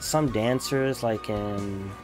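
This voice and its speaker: A man's voice: lowish voice, slow pace. A young man giving casual, slangy reaction commentary in a laid-back way. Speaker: reaction video host. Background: game trailer music.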